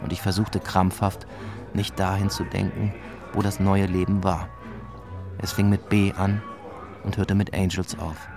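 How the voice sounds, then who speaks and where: An actor playing a disillusioned police officer who narrates an audio drama in a laconic, colloquial way. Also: male voice, low-pitched, level tone, a little slow. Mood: weary, rueful. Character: disillusioned male police officer, wryly admiring storyteller. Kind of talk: scripted first-person narration, audio drama. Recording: music behind the voice.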